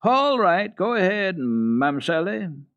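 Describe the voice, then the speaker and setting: A man's voice: sits high, very animated, slow, fairly bright tone, no room sound, highs cut off, voice pushed up, exaggerated voice. A man voicing a good-natured, helpful manservant in a children's story reading, speaking plainly and obligingly. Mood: amused.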